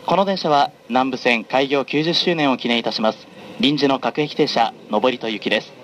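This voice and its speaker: A man making formal, scripted onboard train announcements in a measured, polite manner, as a conductor does. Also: mid-range voice, fairly bright tone. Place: onboard a running train, over the announcement system. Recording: clear noise of a moving train. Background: train running noise.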